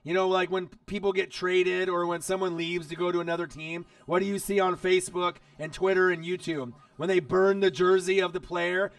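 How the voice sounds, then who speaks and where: A man hosting a casual live stream while watching football, chatty and excitable, his voice hoarse from losing it. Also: sits high, dry recording, recorded from a distance. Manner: excitable, chatty, talks straight to viewers.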